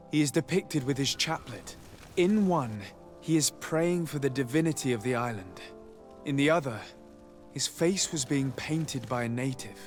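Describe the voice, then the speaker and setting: An actor playing a composed young noble diplomat, speaking calmly, formally and articulately in a video game. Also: male voice, medium pitch, natural voice. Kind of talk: video game drama acting.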